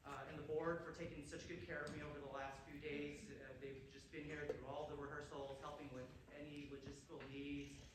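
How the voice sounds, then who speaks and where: A man's voice: fairly high pitch, level tone, a little fast, few pauses. A man as guest conductor, speaking informally to a concert audience from the stage with frequent restarts. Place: large concert hall. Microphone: distant hall microphone. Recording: echoey concert hall from far off with noise.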